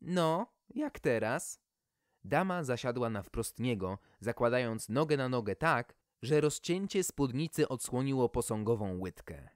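A man's voice: mid-range voice, expressive, moderate pace, few pauses, no room sound, clean studio sound. A man reading a scripted fairy tale as a storyteller, with a wry delivery.

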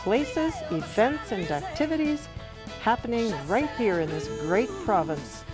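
A woman's voice: on the high side, lively intonation, unhurried. A woman announcer reading a scripted bilingual programme introduction as a voice-over. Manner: mixes English and French phrases. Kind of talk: scripted promotional narration. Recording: voice-over with music behind.

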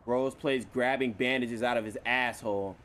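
A man's voice: medium pitch, fairly even intonation, moderate pace, a bit bright, a little hiss or hum. A man giving casual, profane, slangy streaming commentary on an online roleplay game, complaining sarcastically.

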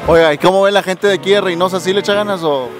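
A man's voice: sits high, very fast. A man in his thirties with a northern Mexican accent, doing casual on-location interviews as host. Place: busy padel club. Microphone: handheld reporter microphone. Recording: handheld mic, music behind.

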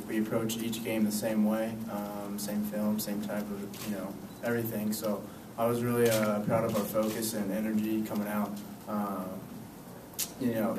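A young college basketball player answers press questions in a low, fairly flat voice, leaning on fillers like "um" and "you know". He sounds far from the mic in an echoey room with steady background noise. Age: young adult. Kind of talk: postgame press conference answers. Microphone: table mic, picked up from a distance.

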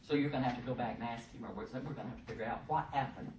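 A middle-aged woman, giving a loud, emphatic, folksy training talk from a podium in a conference room. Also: extremely low pitch, very fast. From Texas. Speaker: presenter at a workshop. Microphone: podium microphone, heard from across the room. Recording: echoey room, distant mic, faint hiss.

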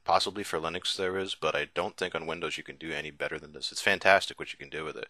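Male screencast reviewer, bass-register voice, informal and enthusiastic demo delivery over prominent background music. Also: sharp tone. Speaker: software reviewer. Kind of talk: casual screencast demo narration. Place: indoors, voice-over on a screen recording. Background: music playing behind the voice.

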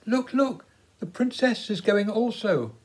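Storybook village girl played by an older man: young, shy, earnest, English, voice lifted high. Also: animated, measured pace, slightly rough, exaggerated voice. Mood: excited.

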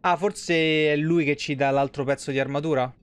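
A man's voice: a little high, fairly even intonation, normal speed, clear, resonant tone. A man in his thirties giving casual, slangy, sweary and sarcastic live commentary on a game. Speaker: game streamer. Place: small room at home, indoors.

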